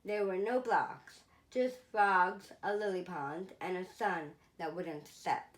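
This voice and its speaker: A woman voicing a kindly, wise old grandfather in a children's storybook, gentle and reassuring. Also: on the low side, steady tone, slow. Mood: fond reminiscing. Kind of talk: storybook character voice in a read-aloud.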